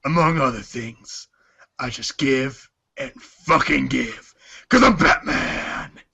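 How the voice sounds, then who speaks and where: A man playing a cocky, foul-mouthed teenage boy with a deadpan, slangy, sarcastic delivery and husky voice in a storybook fan dub. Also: mid-range voice, slow, dull audio, full character voice. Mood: sardonic, cocky.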